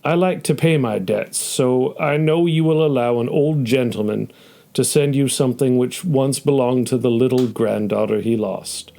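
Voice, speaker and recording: A gravelly, low-toned old gentleman of the period drama sort, played by a man. He speaks formally and gently, measuring his words with grandfatherly courtesy. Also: moderate pace, lightly acted. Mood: fond, grateful. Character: kindly, courteous old widowed gentleman, a wealthy neighbour. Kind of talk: audio play acting.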